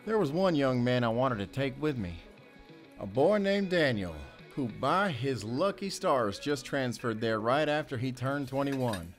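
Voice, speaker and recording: A man's voice: medium pitch, expressive, faint music behind, natural voice. Man playing a guarded, folksy ex-convict handyman. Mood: guarded, reflective. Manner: measured, folksy, with drawn-out pauses. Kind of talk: audio drama acting.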